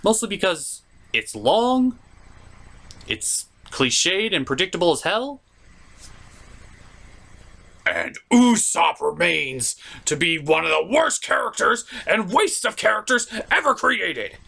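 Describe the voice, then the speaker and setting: A man hosts a comedic review with a forceful, bright delivery. His pitch sits a little high and swings widely, giving his opinionated commentary a theatrical feel. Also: sing-song, slow, gravelly. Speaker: host and anime reviewer.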